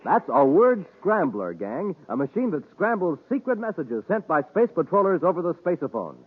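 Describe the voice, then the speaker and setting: A man's voice: a little high, sing-song, normal speed, husky. A man announcing and selling on 1950s radio with folksy, enthusiastic sales patter. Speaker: announcer and sponsor pitchman. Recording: tinny 1950s radio recording.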